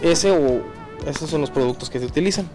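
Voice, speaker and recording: A Mexican man speaks as a workshop technician explaining his craft. His voice is slightly high, and his delivery is informal and somewhat halting. Faint music is heard behind him. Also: mellow. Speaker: auto body paint technician.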